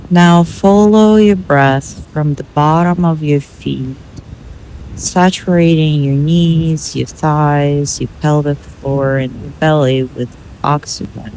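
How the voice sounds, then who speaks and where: A woman leading a guided meditation in measured, instructional short phrases with pauses between them. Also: low-pitched, fairly even intonation, a little mellow, a little hiss or hum, low-quality recording.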